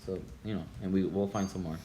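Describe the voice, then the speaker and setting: Male podcast host, Russian accent, low voice, roomy recording. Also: fairly even intonation, quick pace, dark tone. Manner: laughs often, hesitant fillers. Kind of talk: casual chat. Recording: echoey room with faint noise.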